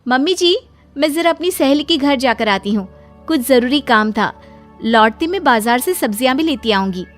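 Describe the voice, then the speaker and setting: A woman voicing an expressive, tender-hearted, easily flustered young daughter-in-law in a cartoon moral story. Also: high voice, moderate pace, soft background music, voice pushed up. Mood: polite.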